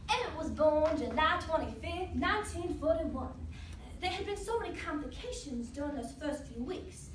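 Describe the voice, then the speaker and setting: A woman's voice: sits high, a bit bright. A woman performing a dramatic monologue as a devoted, grieving mother, telling her memories in a solemn, tender way. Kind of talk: competitive dramatic interpretation monologue. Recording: roomy, distant mic, faint noise.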